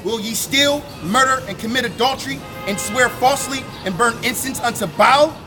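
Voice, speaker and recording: A man's voice: very high-pitched, moderate pace. A man reading scripture aloud on a city street in a proclaiming, rising cadence, then preaching on it. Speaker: scripture reader. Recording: street crowd and music behind.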